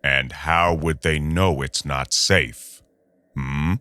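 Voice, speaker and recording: A man acting a condescending, intimidating boss, very deep and slow. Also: fairly even intonation, bright, forceful tone, clean tone, heavily acted. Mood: pressing, menacing. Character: menacing, smooth-talking boss recruiting with veiled threats. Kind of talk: audio drama acting.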